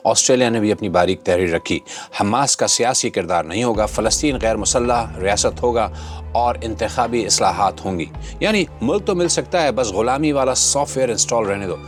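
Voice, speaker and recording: A man's voice: on the low side, brisk. A man hosting a scripted opinion commentary, speaking in a dramatic, rhetorical and sardonic manner. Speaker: host of a commentary channel. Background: background music.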